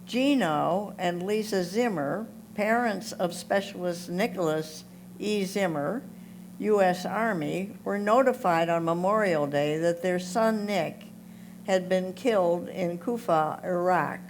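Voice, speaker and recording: A woman reading photo captions aloud in a measured, slightly halting manner. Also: lowish voice. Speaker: reader of photo captions at a photo club presentation. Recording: clear background noise with hum.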